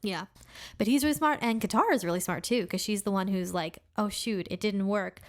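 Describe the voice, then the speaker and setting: A woman's voice: medium pitch, fairly quick. A woman co-hosting a podcast in casual chat, talking eagerly with lots of filler words. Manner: enthusiastic, heavy use of "like" and "really cool". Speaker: podcast co-host.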